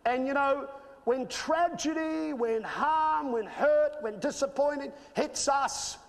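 A man's voice: extremely high pitch, takes it slowly, vocal fry. A man in his fifties with an Australian accent, preaching a sermon and telling a personal story, earnest and building to emphatic peaks. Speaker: pastor. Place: church stage with screen. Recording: reverberant church hall.

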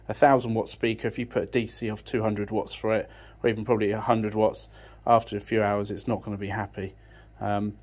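A man's voice: on the low side, a little mellow. A man giving an informal technical explanation in a matter-of-fact, unscripted way, thinking as he goes. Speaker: audio technician presenting a tech channel. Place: indoors, beside an amplifier rack.

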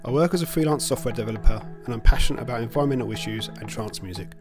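A man's voice: medium pitch, rapid-fire, over music. A man in his thirties introducing himself in a matter-of-fact way. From United Kingdom. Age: 30s. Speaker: volunteer.

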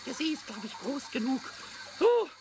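Mischievous little kobold, creaky, wildly swooping, excitable, repetitive, children's audio drama. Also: male voice, extremely high pitch, moderate pace, slight background noise, exaggerated voice. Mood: tipsy, bustling. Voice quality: squawky, creaky. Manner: stammering, repetitive, sing-song rhyming. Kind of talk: German children's radio play acting.

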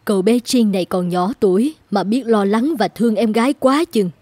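A woman's voice: medium pitch, moderate pace, warm tone, dry recording, natural voice. A woman voicing a kindly, compassionate orphanage carer in a cartoon, with a sympathetic, worried manner. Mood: pitying. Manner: sympathetic, caring. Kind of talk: animated children's story dubbing.

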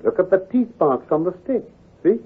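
An actor playing a brisk, confident, teasing gentleman detective with a British accent, precise and patronizing, in an old-time radio drama. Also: sits high, big pitch swings, breathy edge, exaggerated voice. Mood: keen, assured. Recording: muffled, lo-fi vintage radio recording.

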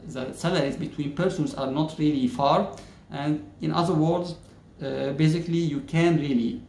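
A man talks straight to the camera, slow and measured, with frequent "uh" pauses. His voice sits a little high for a man. The plain room around him rings with echo, and a faint hum sits underneath. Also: creaky, darkish tone. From Egypt. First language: Arabic. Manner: measured, many "uh" pauses, gestures with hands. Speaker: YouTuber. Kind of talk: casual explainer talking to camera. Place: plain-walled indoor room.

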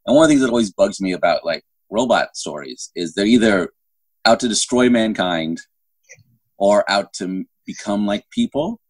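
A middle-aged man speaks in a low, husky voice with very little room sound. He chats casually as an interview guest, looping back and restarting his thoughts as he explains. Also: lively intonation, normal speed, dry recording. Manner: rambling, self-interrupting with repeated false starts. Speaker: guest novelist. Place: indoor room at home. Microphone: phone at arm's length on a video call.

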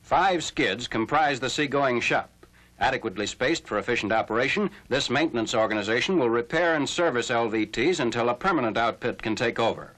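A man narrating a scripted 1940s military newsreel in a formal, measured announcer's delivery. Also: medium pitch, lively intonation, fairly bright tone. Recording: noisy old 1940s film soundtrack.

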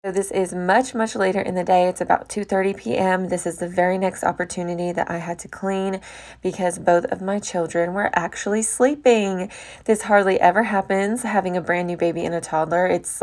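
A woman's voice: on the low side, steady tone, normal speed, a little mellow. A young American woman giving a chatty, informal voice-over about household chores, as a homemaking vlogger. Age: young adult.

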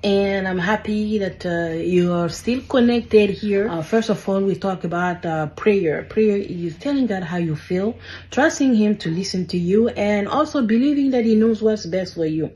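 A woman's voice: mid-range voice, moderate pace, dark tone. A woman giving an earnest kids' lesson, filmed on a phone at arm's length indoors. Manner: earnest, with frequent fillers. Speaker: kids ministry teacher. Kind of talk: earnest teaching talk.

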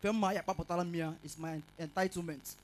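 A man's voice: a little high, steady tone, quick pace, lightly acted. A man playing a boastful young campus bully who threatens a woman.

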